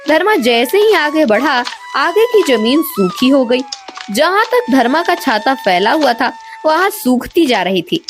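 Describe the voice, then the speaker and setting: Expressive woman storyteller, higher-pitched. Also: unhurried. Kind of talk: scripted children's story narration. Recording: music bed behind the voice.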